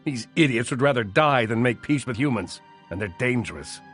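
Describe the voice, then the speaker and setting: An actor playing an urgent human military commander in a video game cutscene, with a clipped, commanding delivery. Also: male voice, mid-range voice, lively intonation, brisk, fairly bright tone, faint music behind, lightly acted. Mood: grim, urgent.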